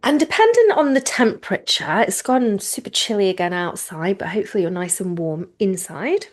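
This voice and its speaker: A woman teaching yoga nidra, giving informal guided relaxation instructions in a gentle, reassuring manner with unhurried phrasing and pauses. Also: medium pitch, lively intonation.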